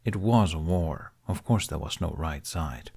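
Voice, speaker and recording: Man, lowish voice, audiobook narrator, scripted fiction reading. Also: unhurried, a little mellow, breathy edge.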